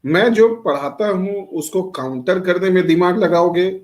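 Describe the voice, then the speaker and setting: High-voiced male lecturer quizzing his class. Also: moderate pace, darkish tone. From Bihar, India. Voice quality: speaks Hindi with much English mixed in, quizzes students by name. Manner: asks rhetorical questions and answers them himself. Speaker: teacher.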